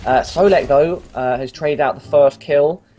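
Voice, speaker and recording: A man's voice: on the high side, unhurried. Chatty young man casting esports. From London. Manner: chatty, jokey, excitable on big plays. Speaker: amateur game caster. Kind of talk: casual esports commentary.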